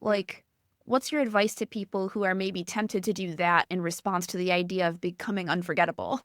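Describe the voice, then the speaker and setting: A woman from the Midwest with a Midwestern accent, hosting a podcast interview in a gentle, reflective way with a sweet, calming voice. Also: lowish voice, a little fast, dry recording, studio quality. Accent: Midwestern American. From American Midwest. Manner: gentle, reflective, asks thoughtful questions. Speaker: podcast host.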